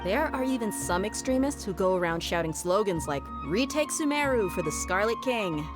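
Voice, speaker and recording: A woman's voice: medium pitch, expressive, normal speed, music in the background, natural voice. A woman playing a tough, pragmatic young bodyguard in a video game, blunt and casual in a streetwise way. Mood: matter-of-fact, wary.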